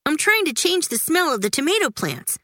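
A woman's voice: high voice, big pitch swings, brisk, pushed and bright, no room sound, studio quality, pitched above the performer's own voice, exaggerated voice. A woman doing an eager little boy scientist. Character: curious seven-year-old boy, science nut.

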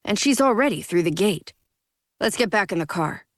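A woman voicing a calm, protective young male warrior who speaks quietly and flatly. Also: mid-range voice, moderate pace, dry recording, clean studio sound, close to an everyday voice.

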